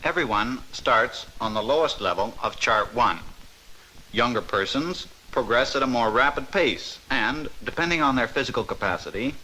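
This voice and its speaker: A man giving scripted, measured narration for an instructional training film from the 1950s, stressing key words as he explains. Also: mid-range voice, animated, sharp tone, slight background noise, rough recording.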